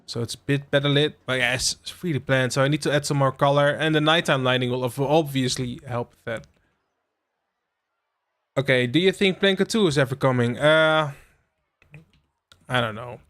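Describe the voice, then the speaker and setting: A man's voice: mid-range voice, moderate pace, pauses often, a bit bright. Dutch young man streaming casually. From Netherlands. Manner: rambling, full of fillers and asides to chat. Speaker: livestream host. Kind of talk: casual live-stream chat while playing a game.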